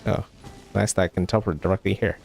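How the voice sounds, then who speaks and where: A man's voice: low-pitched, steady tone, quick pace, gravelly, a little mellow. A man livestreaming a video game, giving casual, rambling commentary as he thinks aloud. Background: game music.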